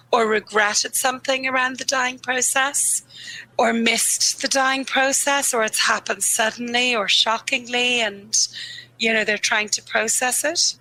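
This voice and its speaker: A woman grief therapist talks candidly in an interview, her voice bright, a little croaky and on the higher side. She is earnest and laughs easily, drawing out the phrases she wants to land. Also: rough recording. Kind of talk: reflective conversational interview.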